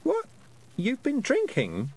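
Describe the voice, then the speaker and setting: Man acting young girl, high lifted voice, plucky, demanding, questioning, storybook heroine. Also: huge pitch swings, slow, darkish tone, slightly rough, full character voice. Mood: incredulous. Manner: incredulous, demanding.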